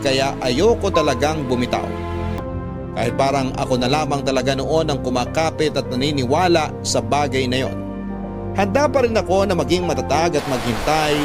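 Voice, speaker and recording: A man reading a scripted first-person story as a drama podcast narrator, in an earnest, dramatic way. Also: a little high. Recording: voice over loud music bed.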